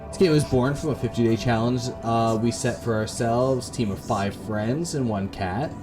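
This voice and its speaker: A man's voice: medium pitch, normal speed. A man giving casual, laid-back commentary as he hosts a video game playthrough. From Canada. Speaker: gaming video host. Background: atmospheric game music.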